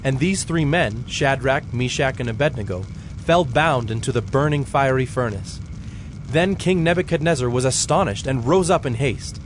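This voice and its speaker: A man narrating a dramatized Bible story in a measured, solemn storytelling manner. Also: medium pitch, lively intonation, noisy background.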